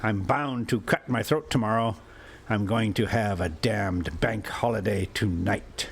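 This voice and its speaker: Man acting a reckless drunk, bitter and shouting. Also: lowish voice, a little slow, gravelly, slight background noise. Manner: loud, taunting exclamations. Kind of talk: storybook character voice in a read-aloud.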